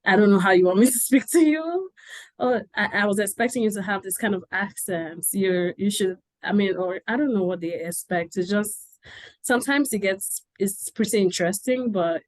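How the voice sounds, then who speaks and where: A woman from Nigeria hosting a panel conversation over a video call, chatty and quick to laugh, with many fillers. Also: medium pitch, dry recording. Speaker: interviewer.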